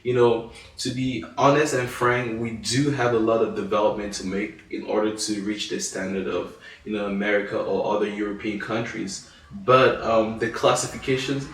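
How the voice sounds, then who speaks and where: A man's voice: lowish voice, fairly even intonation, normal speed. A man from Cameroon, a French speaker, co-hosting a casual discussion in an earnest, thoughtful way. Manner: earnest, thoughtful, with many you-knows and restarts. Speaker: podcast co-host. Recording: echoey room, far from mic.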